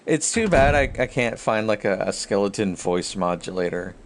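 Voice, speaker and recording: A man's voice: on the low side, animated, moderate pace. A man chatting casually with viewers and thinking aloud in rambling fashion as he streams a video game. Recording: clear background noise.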